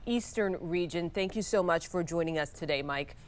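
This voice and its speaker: A woman with an American accent interviewing as a TV news anchor, in a polished, measured broadcast delivery. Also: lowish voice, slight background noise.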